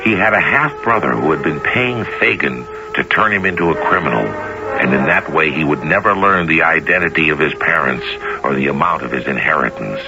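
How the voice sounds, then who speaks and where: An extremely deep-voiced man narrates a radio play as its host, his pitch moving expressively. Loud music plays behind him, and the broadcast sound is dull. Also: expressive, fairly quick. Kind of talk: scripted radio drama narration. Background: prominent music.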